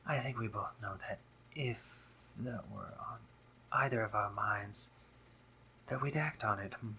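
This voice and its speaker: A sardonic spider-monster doctor voiced by a man for an intimate audio drama, guarded yet caring. He talks slowly and formally, with halting pauses. The voice is bright and edged, at a middling male pitch, over faint background noise. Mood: teasing, reluctant.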